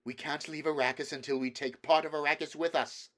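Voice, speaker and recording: A man voicing an intense teenage boy who swings between anguished shouts and cold, iron-controlled speech in an audiobook reading. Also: on the high side, fairly even intonation, fairly quick, pitched above the performer's own voice, close to an everyday voice.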